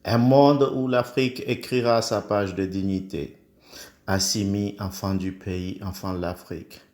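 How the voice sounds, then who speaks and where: A man's voice: fairly low pitch, fairly even intonation, measured pace, darkish tone, echoey room. A man from the Comoros reciting a solemn praise poem in an oratorical, declamatory way, in short emphatic phrases. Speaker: poet.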